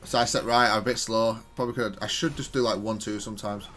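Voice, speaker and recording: Low-voiced man analysing his gameplay. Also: fairly even intonation, fast, sharp tone, soft background music. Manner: self-correcting, analytical, thinking aloud. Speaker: video game player and content creator. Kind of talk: casual gameplay commentary, analysing own match.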